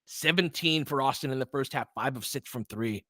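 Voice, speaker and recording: Male basketball play-by-play host, mid-pitched, informal fan-side delivery, excitable on big plays, very dry recording. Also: normal speed, dry recording. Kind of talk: live basketball commentary and analysis.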